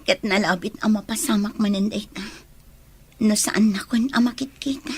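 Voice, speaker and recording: An actress plays a sorrowful young woman in an audio romance drama. Her voice is husky and slightly breathy, subdued and apologetic. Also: mid-range voice, moderate pace. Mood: wistful resignation.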